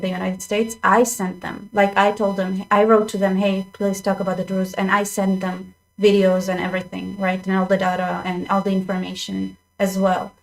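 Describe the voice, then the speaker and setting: A woman's voice: mid-range voice, low-quality recording. A young woman hosting her own channel, talking casually to viewers with lots of restarts and asides. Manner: chatty, restarts often, addresses viewers directly. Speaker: channel host. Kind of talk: casual commentary to camera. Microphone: desk microphone.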